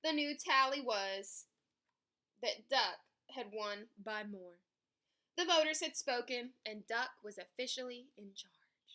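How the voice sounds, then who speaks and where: A woman reading a story aloud for children in an expressive, emphatic way. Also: a little high, takes it slowly. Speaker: picture book reader.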